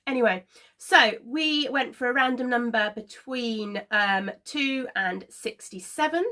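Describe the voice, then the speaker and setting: A woman in her thirties hosting a knitting podcast, chatting casually to camera from her sofa at home, slightly congested from a cold and filling pauses with frequent ums. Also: a little high, pushed and bright. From Scotland. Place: living room at home, seated on a sofa.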